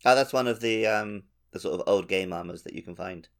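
A man's voice: fairly low pitch, fairly quick, gravelly. A man co-hosting a gaming podcast in casual conversation. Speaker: podcast co-host. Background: prominent plucked-guitar music.

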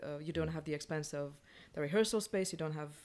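A woman with a markedly low voice takes part in a casual panel discussion as a playwright. She is frank, and her sentences come with frequent restarts. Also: quick pace, darkish tone.

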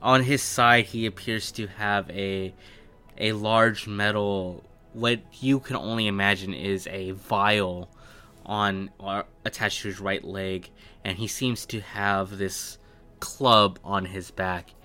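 A man narrating a tabletop roleplaying game as game master, improvising his descriptions in halting phrases. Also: lowish voice, steady tone, bright, forceful tone, smooth. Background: background music.

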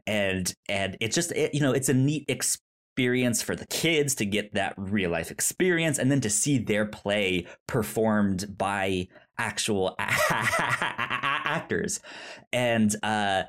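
A podcast co-host in casual film discussion, speaking haltingly and often stammering on repeated syllables. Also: medium pitch, animated, a bit bright.

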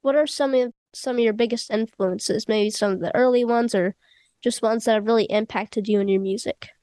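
A woman's voice: fairly high pitch, steady tone, moderate pace, mellow, no echo, studio quality. A woman hosting an interview podcast, sounding nasal from a cold and asking easygoing questions. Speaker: podcast host.

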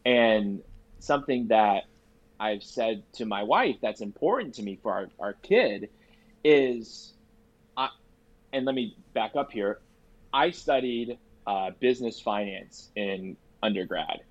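A man's voice: on the low side, lively intonation, takes it slowly. A young man with a nasal, congested voice from a cold, talking earnestly and casually on a podcast, repeating phrases for emphasis. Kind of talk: casual conversational podcast chat. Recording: dry, slightly dull sound.

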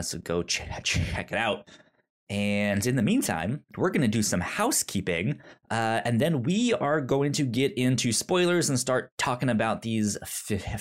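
A man hosting a casual podcast chat, talking in a halting way with many fillers and stammered restarts. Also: on the low side, big pitch swings. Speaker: podcast host.

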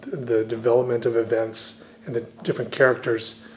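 A man's voice: mid-range voice, flat delivery, normal speed, darkish tone, low-quality recording. A man who grew up speaking Dutch, a visiting scholar and author answering interview questions at length, with many fillers and restarts.

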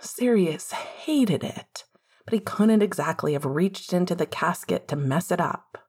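Low, husky woman narrating fiction. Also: expressive, measured pace, a little mellow. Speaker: audiobook narrator.

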